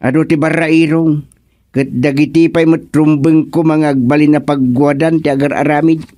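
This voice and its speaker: A man playing a stern, authoritative village chairman with a deliberate, commanding manner in an Ilocano radio comedy drama. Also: on the high side, level tone, warm tone, no echo, natural voice. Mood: serious, firm.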